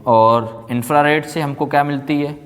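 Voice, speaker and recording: A man's voice: a little high, fairly even intonation, moderate pace, faint music behind. A man teaching a science class, repeating phrases, asking check questions and mixing in English technical terms. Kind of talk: classroom physics lecture for exam students. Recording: echoey room with long reverb.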